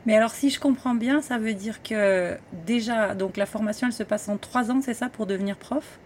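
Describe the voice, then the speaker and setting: A woman's voice: on the high side, moderate pace, a little mellow, a little hiss or hum. A woman interviewer who asks her questions hesitantly, searching for her words.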